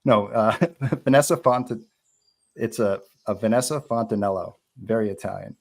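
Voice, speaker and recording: A man's voice: on the low side, moderate pace, gravelly. A man reading out screenplay stage directions as narrator at a live table read.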